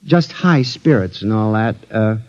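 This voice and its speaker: An actor in a 1950s radio drama playing a frantic, deluded mental patient, excitable and rambling. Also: male voice, lowish voice, a little slow. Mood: anxious musing. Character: frantic, deluded male mental patient fearing rebellious machines. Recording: dull vintage radio recording.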